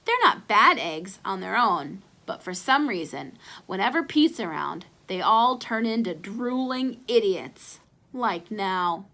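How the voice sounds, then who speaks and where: A woman reading a children's chapter book aloud as an expressive storyteller. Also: medium pitch, slow pace, vocal fry.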